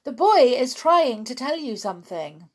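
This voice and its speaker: A woman voicing a sensible, exasperated mother who gently scolds in a children's story. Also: sits high, very animated, measured pace, voice pushed up, heavily acted. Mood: chiding. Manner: chiding, exasperated.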